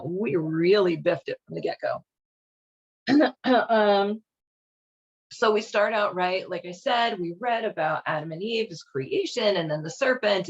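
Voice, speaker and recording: Woman, husky voice, casual read-aloud host, wry, irreverent, informal, slightly dull lo-fi recording. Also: fairly low pitch. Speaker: host reading and commenting on a children's Bible.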